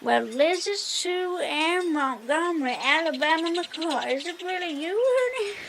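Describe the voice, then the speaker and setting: A woman's voice: extremely high pitch, lively intonation, measured pace, crowd noise, full character voice. A woman playing a folksy, bossy but hospitable old country granny with a drawling Southern US accent in a comedic audio drama. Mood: folksy welcome. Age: older. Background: music.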